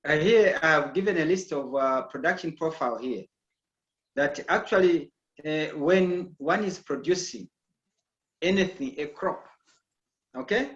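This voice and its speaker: A man's voice: on the high side, measured pace, a bit bright. A man from Zambia lecturing an online agriculture class, often checking in with "okay?". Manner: repeats "okay?" to check understanding. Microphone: video call. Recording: echoey, dull video call audio.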